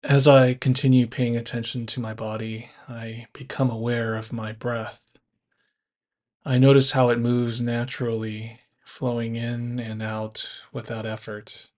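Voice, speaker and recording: A man guiding a body scan meditation as an instructor, speaking in measured first-person instructions. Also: mid-range voice, flat delivery, a little mellow.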